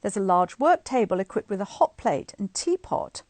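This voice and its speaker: A middle-aged woman reading a book aloud to online listeners in an expressive, informal, chatty way. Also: medium pitch, moderate pace, dry recording. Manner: informal, chatty asides between expressive read passages. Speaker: guest reader of a bedtime story series. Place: indoors at home, on a live online stream.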